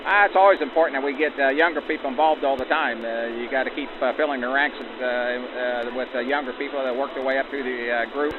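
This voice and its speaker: A man explains things practically and at a quick clip in an interview. His voice is band-limited like old radio, with plenty of background noise. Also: mid-range voice, steady tone, narrow, tinny audio. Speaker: locomotive crew chief. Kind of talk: unscripted interview answers. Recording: band-limited, noisy outdoor vintage-style sound.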